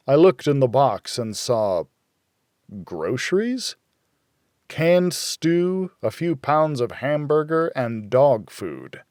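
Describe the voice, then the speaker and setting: A male audiobook narrator with a middle-pitched voice tells a first-person noir thriller. His delivery is measured and dramatic. The sound is very dry, like a recording booth. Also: darkish tone, no room sound.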